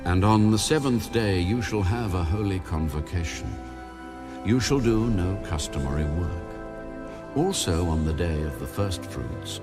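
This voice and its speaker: A man narrating scripture in a measured, solemn, deliberate way, like an audiobook of the Bible. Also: deep voice. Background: background music.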